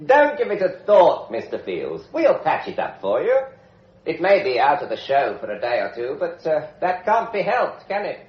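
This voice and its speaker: Offhand businessman, higher voice, extremely swooping pitch, radio play. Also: wildly swooping pitch, normal speed. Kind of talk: British radio drama acting. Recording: muffled, echoey old radio drama.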